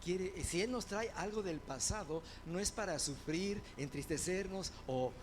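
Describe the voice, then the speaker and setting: High-pitched guy preaching, gets loud and fired up then chatty again, faint hiss behind. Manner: rises to loud fervent proclamations, then drops to quick conversational asides. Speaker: pastor. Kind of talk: sermon.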